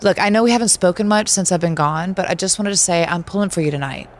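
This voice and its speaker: An actor playing a concerned, caring friend in a video game cutscene, speaking gently and carefully. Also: medium pitch, quick pace, natural voice. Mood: worried, supportive.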